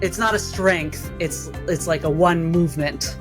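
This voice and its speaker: A woman's voice: lowish voice, measured pace, music in the background. A woman chatting casually as a player in a tabletop game.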